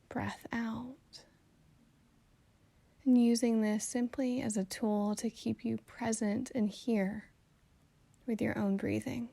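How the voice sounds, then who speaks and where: A woman guiding a meditation in a calm, soothing, gently instructive way, with soft phrases that trail toward a whisper. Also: on the high side, creaky, dry recording. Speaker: meditation guide. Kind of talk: slow guided meditation narration.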